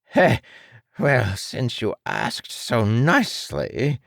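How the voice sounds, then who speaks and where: A smug, mocking mad-scientist villain from a superhero audiobook, played by a male narrator. He stretches his words with theatrical condescension, swinging widely in pitch over a creaky low register. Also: wildly swooping pitch, fairly bright tone, no echo, studio quality, full character voice. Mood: gloating. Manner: theatrical, condescending, drawn-out delivery.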